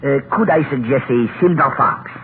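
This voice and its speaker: A man's voice: medium pitch, normal speed, close to an everyday voice. An actor playing a suave, fawning French department store salesman, effusive and obsequious, in a 1940s radio comedy. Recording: tinny, noisy 1940s radio recording.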